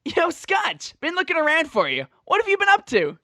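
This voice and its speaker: A woman's voice: very high voice, huge pitch swings, moderate pace, pushed and bright, no room sound, clean studio sound, full character voice. A woman voicing a brash, teasing, cocky young male pegasus pony with boisterous slang in a fan-made audio story. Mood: loud, hailing. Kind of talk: fan fiction audio drama.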